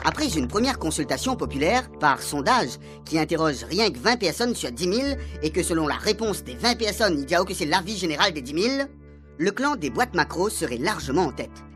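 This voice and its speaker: Radio serial narrator, animated, Réunionese accent, music bed. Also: mid-range voice, a little fast. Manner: lively storytelling.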